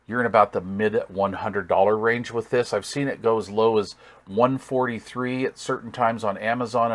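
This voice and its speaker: A man's voice: lowish voice, fairly bright tone. A man giving a casual hands-on product demonstration as a hobbyist reviewer, thinking aloud with folksy, easygoing enthusiasm. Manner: thinks aloud while working.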